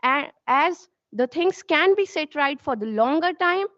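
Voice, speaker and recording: High-voiced Indian student woman, earnest. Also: expressive, unhurried, sharp tone, dry recording. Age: young adult. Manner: earnest and formal, with restarts. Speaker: student competitor. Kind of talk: prepared competition speech. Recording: dull dry lo-fi recording.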